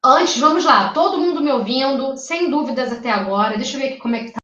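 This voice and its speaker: A woman's voice: high voice, expressive, quick pace, a bit bright, roomy sound, recorded from a distance, highs cut off. A woman from Rio de Janeiro with a Carioca accent, giving a chatty live exam-prep lecture and often checking in with her listeners. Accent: Carioca Brazilian Portuguese. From Rio de Janeiro, Brazil. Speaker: teacher.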